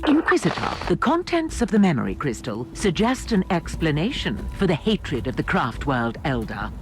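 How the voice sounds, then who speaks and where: An actor playing a cold, methodical tech-priest in a video game, delivering formal and precise reports. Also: male voice, fairly high pitch, wildly swooping pitch, normal speed. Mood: clinical, reporting. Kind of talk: video game cutscene acting. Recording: music behind the voice.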